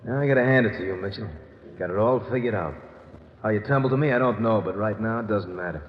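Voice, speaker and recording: A man's voice: lowish voice, fast talker, close to an everyday voice. An actor playing a menacing, sardonic gunman, a coolly mocking killer with a curt delivery, in 1950s radio drama style. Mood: cold gloating. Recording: echoey, dull, faintly noisy old radio recording.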